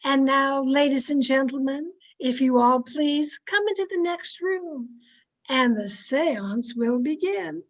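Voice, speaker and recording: Casting: actress for a bossy, gushing society matron in a radio play, high-pitched, unhurried, grandly affected. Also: female voice. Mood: grand, excited. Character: gushing, self-satisfied society hostess, bossy wife. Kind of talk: old-time radio acting.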